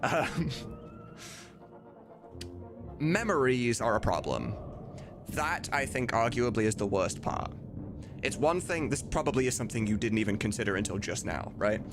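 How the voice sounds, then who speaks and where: A man streamer talks in a casual, candid ramble, as if answering viewers. His voice sits at an average male pitch. Clear music plays behind him. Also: big pitch swings, moderate pace.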